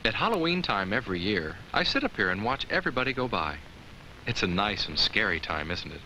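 A man voicing a friendly, chatty jack-o'-lantern who gently instructs children in a safety film. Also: fairly low pitch, very animated, a little fast, exaggerated voice. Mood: playful, confiding. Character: friendly talking jack-o'-lantern, cautious and playful. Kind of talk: scripted narration addressed to children. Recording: noisy old 1980s educational film soundtrack.

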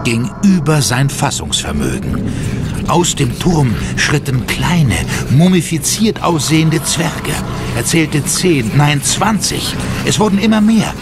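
A man playing a grave, ominous narrator in a horror audio drama, telling it with deliberate suspense. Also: medium pitch.

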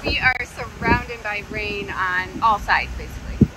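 A woman's voice: medium pitch, a little slow, very noisy. Upbeat woman vlogging on a boat. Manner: upbeat, chatty. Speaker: sailing vlogger. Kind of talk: casual vlog to camera. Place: aboard a sailboat at sea. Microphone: handheld camera at arm's length.

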